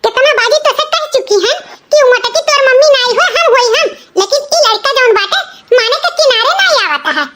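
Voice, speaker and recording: A man's voice: extremely high pitch, lively intonation, a little fast, sharp tone, full character voice. A man voicing a vain, jealous young village woman in a comic cartoon, with an Awadhi accent and a nagging, taunting manner. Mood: bossy, exasperated. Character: vain, jealous, scolding young village sister-in-law, a mother.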